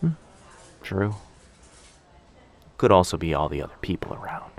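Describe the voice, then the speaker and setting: A man playing a shy, sweet, anxious young stranger in a boyfriend ASMR roleplay, speaking hesitantly, softly and earnestly. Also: sits low, big pitch swings, moderate pace. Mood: thoughtful, hesitant. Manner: hesitant, soft-spoken, earnest, self-doubting.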